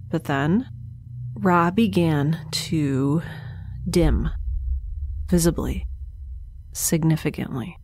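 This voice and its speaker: A lone young woman stranded in space, as an actress plays her, keeps her log in a low-pitched voice. She sounds weary and hesitant, with dry, wry asides in an audio drama monologue. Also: slight background noise. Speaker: narrator keeping a log.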